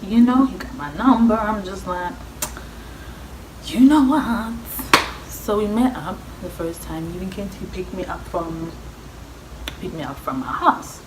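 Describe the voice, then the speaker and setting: A woman's voice: medium pitch, a little slow. A young woman with a South African accent telling a personal story in a chatty, slangy way, acting out the dialogue as she goes. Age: young adult. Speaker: vlogger. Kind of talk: casual personal storytelling to viewers. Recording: echoey room with clear background noise.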